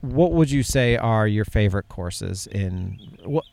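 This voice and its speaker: A man's voice: on the low side, lively intonation, measured pace, gravelly, darkish tone, dry recording. A man from upstate New York hosting a casual interview, asking questions with enthusiastic restarts. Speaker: podcast host.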